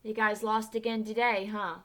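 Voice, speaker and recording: A woman voicing a kind mother who gently reassures her young son. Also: medium pitch, flat delivery, moderate pace, lightly acted. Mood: reassuring. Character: kind mother cooking dinner.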